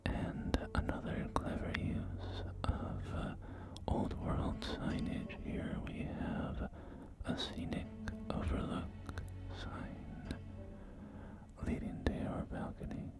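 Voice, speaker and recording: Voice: slow, close to an everyday voice. A performer voicing a polite, eager post-apocalyptic real estate agent in a whispered ASMR roleplay, with courteous, hesitant sales patter. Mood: gently enthusiastic. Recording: loud music bed under close whispering. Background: music and game audio.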